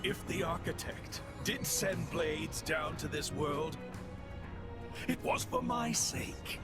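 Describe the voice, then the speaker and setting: A man's voice: a little high, lively intonation, slow pace, over loud music. An actor playing a pompous, fanatical male game villain with a god complex, declaiming grandly. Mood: grandiose, raving. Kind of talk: dubbed video game cutscene acting.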